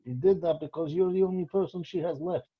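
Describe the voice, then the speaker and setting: A man's voice: on the high side, fairly quick, husky. A man reading the part of a scruffy, teasing, reckless 28-year-old boyfriend in an amateur script table read. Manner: flippant, needling. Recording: dull lo-fi recording.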